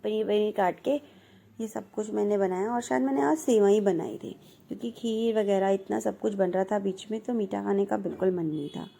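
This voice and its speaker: A woman in her thirties from India, narrating her home vlog in a chatty, relaxed way, her throat slightly hoarse. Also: medium pitch, moderate pace. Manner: chatty, relaxed, self-teasing. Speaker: vlogger. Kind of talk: casual voice-over narration.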